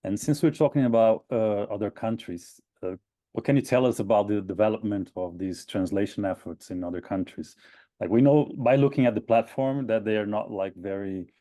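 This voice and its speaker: A man hosting a conversational web interview in a halting, thoughtful way. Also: lowish voice, dark tone, dry recording, clean studio sound. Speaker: webtalk host.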